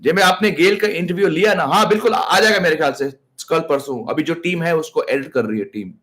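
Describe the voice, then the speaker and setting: Male live-stream cricket host, very fast patter, ribs his chat, code-switches into Hindi. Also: fairly high pitch. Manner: teasing, excitable, switches into Hindi. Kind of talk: live stream chat, reading viewer comments. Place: at home.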